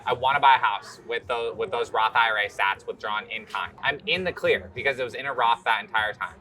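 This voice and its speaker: A man's voice: medium pitch, brisk, pushed and bright, low-quality recording. An American man hosting a casual podcast conversation in an eager manner, often affirming what he hears. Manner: eager, frequent short affirmations. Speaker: podcast host.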